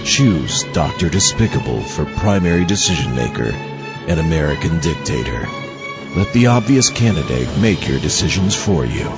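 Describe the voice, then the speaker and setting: A man playing a solemn, deadpan, condescending announcer in a parody political campaign ad. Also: extremely low pitch, normal speed. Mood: solemn contempt. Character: condescending deep-voiced male political attack-ad announcer. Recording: dull audio over loud music bed.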